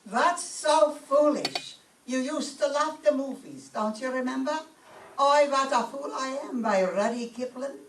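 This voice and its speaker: A woman's voice: high voice, measured pace. Fussy scolding mama played by a woman for vintage radio comedy, immigrant phrasing, roomy faintly noisy stage sound. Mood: nostalgic, nagging. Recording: echoey distant stage recording, faint noise.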